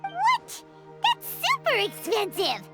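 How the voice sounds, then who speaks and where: A woman voicing a tiny childlike fairy companion in a video game, perky, excitable and squeaky. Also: slow pace, over loud music, full character voice. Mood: shocked. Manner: squeaky, speaks of self in third person.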